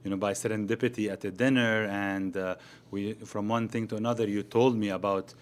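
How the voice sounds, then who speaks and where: A man's voice: fairly low pitch, fairly even intonation, fairly quick. A middle-aged man speaking conversationally as a doctor hosting a podcast interview.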